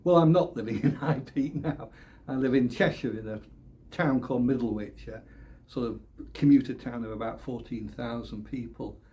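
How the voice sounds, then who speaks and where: An older man from the north of England, a political candidate answering interview questions in a halting way, often repeating words, with small laughs. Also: mid-range voice, dark tone, a little hiss or hum. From England, born Leeds, raised Oldham. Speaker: election candidate.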